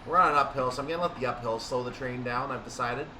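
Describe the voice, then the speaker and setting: A man with a medium-pitched voice commentates on his train simulator session, unscripted and explanatory. He reasons aloud as he goes. The sound is echoey and set back from the mic, with a steady rumble behind him. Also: fast, distant mic. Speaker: gaming video host.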